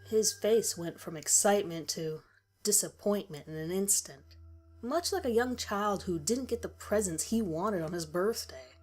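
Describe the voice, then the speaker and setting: A woman's voice: mid-range voice, animated, unhurried, darkish tone. A woman reading a scripted first-person horror story as its narrator.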